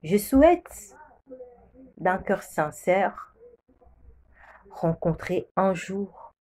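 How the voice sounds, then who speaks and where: Slow, mellow-voiced woman from Congo. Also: mid-range voice, expressive. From Democratic Republic of the Congo. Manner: solemn, repetitive declarations. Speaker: preacher sharing a prophecy. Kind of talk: religious prophetic testimony.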